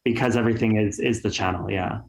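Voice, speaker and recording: A man's voice: on the low side, fairly even intonation, fast talker, vocal fry, a little mellow. Man, podcast guest, candid, chatty, echoey room. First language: English. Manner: chatty, filler-heavy, candid. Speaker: guest YouTuber. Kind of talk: casual podcast chat.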